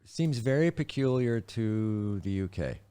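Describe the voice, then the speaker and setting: A man from Wisconsin hosts a podcast with a very low, mellow voice. He is curious and strings several questions together while chatting casually. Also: lively intonation, unhurried, clean tone. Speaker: podcast host. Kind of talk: casual interview chat.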